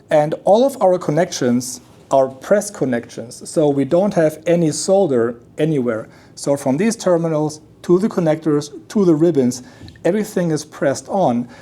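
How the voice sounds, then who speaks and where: A man giving a deliberate, technical product demonstration as a company representative, explaining features with a sales pitch. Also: dark tone. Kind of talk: explanatory product presentation at an audio show.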